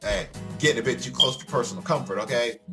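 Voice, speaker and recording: A man's voice: medium pitch, quick pace. A man reading a visual novel aloud as a gaming host, casually commenting and tripping over words. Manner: stumbles over words and jokes about it. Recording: music behind the voice. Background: game music.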